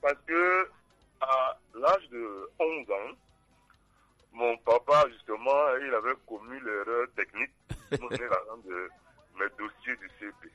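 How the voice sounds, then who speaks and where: A man's voice: mid-range voice, expressive, a little slow, no echo, soft background music. A man in his forties calling into a radio show, telling a story with laughter. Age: 40s. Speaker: caller. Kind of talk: phone-in storytelling. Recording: tinny phone line.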